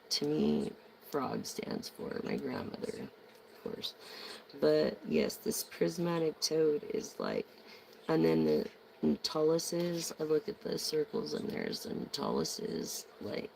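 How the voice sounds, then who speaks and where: Hoarse tarot reader with a gravelly, slow delivery; slangy and meandering. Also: mid-range voice, a little mellow, slight background noise, rough recording. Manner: slangy, meandering, many ums. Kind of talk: casual rambling tarot reading.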